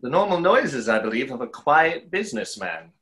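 A young man playing a bitter, enigmatic stage conjurer in a play reading, dry and deliberate. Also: mid-range voice, sing-song, bright, forceful tone. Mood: dry, sardonic. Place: plain room at home, video call.

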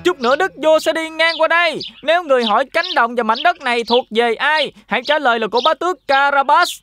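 A woman's voice: sits high, quick pace, over music, natural voice. A woman voicing a clever, boastful talking cat with a self-assured, persuasive manner in a children's fairy-tale cartoon. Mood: instructing.

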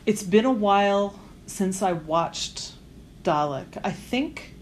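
A woman's voice: mid-range voice, animated, slow. A woman interviewing someone for a podcast in a casual, conversational way. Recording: clear background noise, room ambience.

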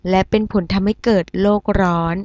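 A woman's voice: mid-range voice, steady tone, brisk, a little mellow, no room sound, highs cut off, close to an everyday voice. A woman playing a formal, composed television news anchor in a cartoon, reading a scripted explanatory report.

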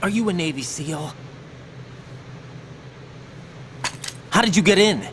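An actor playing an earnest, defensive young special forces rookie in a video game, speaking in a clipped way. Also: male voice, high voice, expressive, fast talker, noisy background. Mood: wary. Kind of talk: video game cutscene acting.

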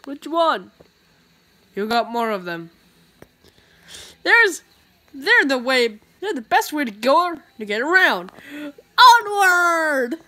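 Bright playful young woman doing comic voices. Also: sits high, sing-song, slow pace, long pauses. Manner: playful, switches voices for each character. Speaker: comic dubber. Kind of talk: reading comics aloud in character voices. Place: dim room, filming a tablet screen.

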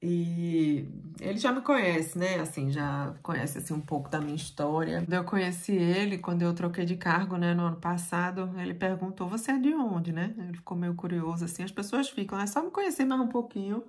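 A woman in her thirties from Brazil with a Brazilian Portuguese accent, telling stories to camera in a small room at home, chatty and animated. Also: lowish voice, fairly quick. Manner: chatty, animated, acts out others' lines in a raised voice. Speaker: vlogger. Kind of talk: casual storytelling to camera.

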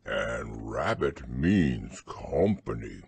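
A man voicing a slow, simple bear who loves food, speaking in a drawn-out, pondering way. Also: very deep voice, big pitch swings, creaky, darkish tone, slightly rough, no room sound, voice pushed down, full character voice. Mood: puzzled.

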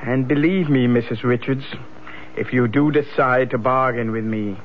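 An actor playing a sinister, soothing bargain-maker in a 1970s radio drama, deliberate and commanding. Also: male voice, mid-range voice, a little hiss or hum, lightly acted. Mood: firm, warning. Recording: dull lo-fi old radio broadcast. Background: faint music.

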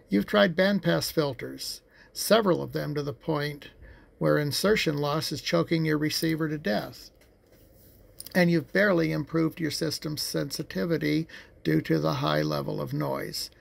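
A man giving an informal, matter-of-fact technical demonstration as a technician, speaking somewhat haltingly. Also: on the high side, creaky.

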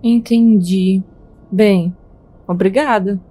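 A woman's voice: mid-range voice, steady tone, slow pace, mellow, husky. A woman playing a composed, wry superintendent in an audio drama, with a dry delivery. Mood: businesslike.

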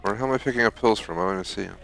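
A man's voice: lowish voice, rapid-fire, vocal fry, fairly bright tone, faint music behind. A man giving casual, off-the-cuff commentary while playing through a video game. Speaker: gaming video host.

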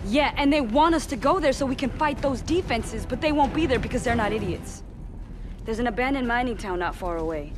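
Actress as firm young woman, slightly high voice. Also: animated, fairly quick, over music, natural voice. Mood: frustrated, sure. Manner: firm, insistent. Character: assertive young local woman advising soldiers, frustrated. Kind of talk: dramatic video game cutscene acting.

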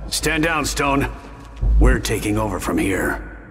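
An actor playing a contemptuous, self-assured middle-aged turncoat general in a video game. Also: male voice, on the low side, expressive, slow pace. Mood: commanding. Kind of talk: video game cutscene acting. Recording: long hall reverb, music behind.

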